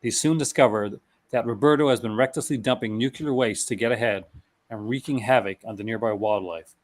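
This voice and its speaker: A man in a relaxed conversation, reading out film blurbs. He stumbles over names, restarts his words and laughs easily as he goes. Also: medium pitch, a little fast. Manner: reads product blurbs aloud, stumbling and restarting, laughs often. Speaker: host. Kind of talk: casual chat.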